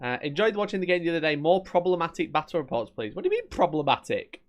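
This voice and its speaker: A man's voice: a little high, huge pitch swings, normal speed. A man with a Yorkshire accent, casually chatting at his desk on a live stream, reading viewers' messages, joking, teasing and laughing often. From Northern England.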